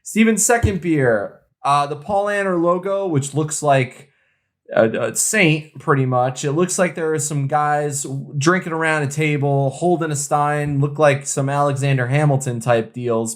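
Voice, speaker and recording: A man co-hosting a podcast, chatting casually in an enthusiastic, slangy way. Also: on the high side. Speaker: co-host of a craft beer podcast.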